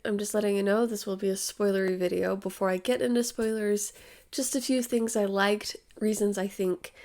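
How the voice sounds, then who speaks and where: A woman's voice: mid-range voice, a little mellow. A young woman with a cold, sniffly and tired-voiced, chatting casually about a film as a YouTube host, thinking aloud with fillers and restarts. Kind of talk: casual movie review.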